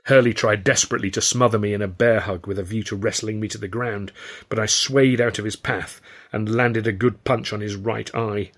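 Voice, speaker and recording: A British man narrates an audiobook in the first person. His voice sits a little low with a husky, breathy grain. The delivery is expressive and wry. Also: fairly quick. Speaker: author reading his own novel.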